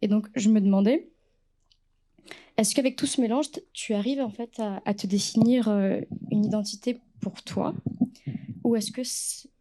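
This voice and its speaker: A woman interviewer with a mellow, soft-edged voice. She builds a long question about language and identity in a halting way, stopping often to restart and filling the gaps with fillers. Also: medium pitch, fairly even intonation. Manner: hesitant, with many fillers and restarts.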